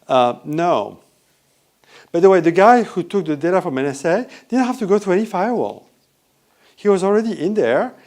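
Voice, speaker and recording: A man with a French accent giving a lively, conversational conference talk and often asking the audience questions. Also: sits high, normal speed. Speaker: conference speaker. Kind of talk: live conference presentation. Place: hotel event room.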